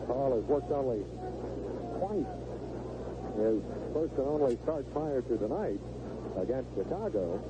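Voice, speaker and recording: A man's voice: mid-range voice, lively intonation, measured pace, long pauses. A man doing live 1970s radio play-by-play of a baseball game, describing the action in short clipped phrases. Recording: noisy, narrow-band vintage AM radio broadcast.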